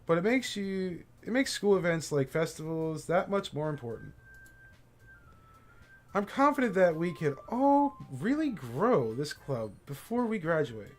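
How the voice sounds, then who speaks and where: A cheerful teenage club president with a bright, eager lift to every line, as a man puts her on while reading a game aloud. Her pitch sits a little high and swings about in lively, animated curves. She sounds encouraging and sure of herself. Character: cheerful composed teen girl club president. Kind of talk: voicing game characters on a live stream.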